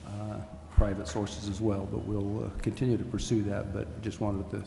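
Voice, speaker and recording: A man giving a formal update to a council, halting and deliberate, in an echoey, noisy chamber. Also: fairly low pitch, little pitch movement, creaky. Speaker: city official reporting to council. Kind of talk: formal update at a public meeting. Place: indoor city council chamber.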